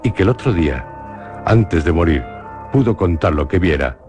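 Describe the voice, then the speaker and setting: A man with a Spanish accent from Spain, giving a slow, solemn dramatic reading of a horror legend for radio. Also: sits low, very animated, darkish tone. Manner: slow, measured, solemn storytelling. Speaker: narrator. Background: music.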